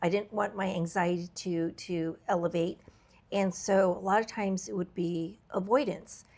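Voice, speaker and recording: Slightly raspy woman, reflective podcast host. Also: on the low side, steady tone, normal speed, darkish tone. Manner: earnest and reflective, many fillers and restarts. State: slightly raspy voice from travel fatigue. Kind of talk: candid podcast conversation.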